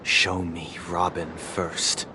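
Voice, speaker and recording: Deep, stern young nobleman. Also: flat delivery, slow pace, noisy background. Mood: suspicious. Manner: curt, formal, commanding. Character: stern, dignified, distrustful young nobleman, protective brother. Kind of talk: scripted game voice acting.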